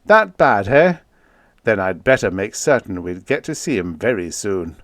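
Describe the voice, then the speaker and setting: A man's voice: fairly low pitch, sing-song, a little slow, no room sound. A man voicing a genial, avuncular elder president in an audiobook, with an emphatic, hearty manner. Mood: hearty, eager. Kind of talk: audiobook character acting.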